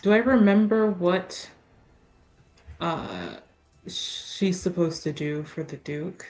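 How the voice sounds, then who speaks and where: A woman playing a tabletop roleplaying game, talking casually in a deliberate, wry way with pauses. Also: on the low side, expressive, a little mellow.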